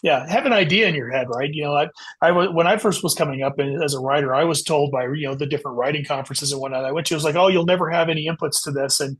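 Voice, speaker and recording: A man's voice: on the high side, rapid-fire, hardly any pauses, a bit bright, husky. A man speaking as an author guest in a casual interview, explaining things and often saying "you know". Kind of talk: casual interview talk about writing.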